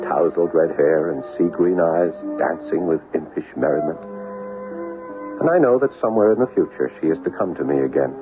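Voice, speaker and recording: An earnest haunted son in a radio drama, low voice, tinny old recording with music behind. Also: male voice. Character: haunted, earnest American son narrating his own tale. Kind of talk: old-time radio drama first-person narration.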